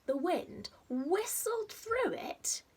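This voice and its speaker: A woman reading a picture book aloud to young children like a teacher, expressively, with dramatic pauses and stressed rhymes and sound words. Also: extremely high pitch, big pitch swings. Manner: simple explanatory asides addressed to young children. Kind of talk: animated storybook read-aloud.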